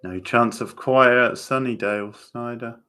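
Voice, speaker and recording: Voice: medium pitch, fairly even intonation, unhurried, bright, forceful tone, smooth. A watchalong host giving casual live reaction commentary in sparse, offhand remarks.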